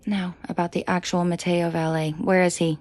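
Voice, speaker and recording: A woman playing a commanding, protective mafia boss in an intimate roleplay audio drama, shifting between cold authority and soft coaxing. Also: fairly low pitch, flat delivery, moderate pace, natural voice. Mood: furious, scornful. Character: commanding female mafia boss, furious yet protective and tender.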